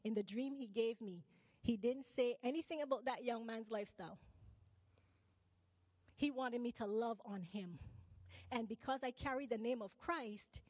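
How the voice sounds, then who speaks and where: A woman's voice: fairly high pitch, a little mellow, no room sound, big-room sound. A woman from Barbados preaching a sermon. She keeps asking the congregation questions and calls for "amen" and "right?".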